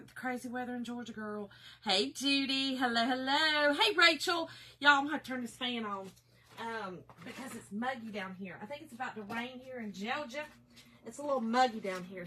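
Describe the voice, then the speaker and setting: A middle-aged woman, a little hoarse, hosting a casual craft livestream and greeting viewers by name. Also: a little high, bright, forceful tone, slight background noise. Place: home office.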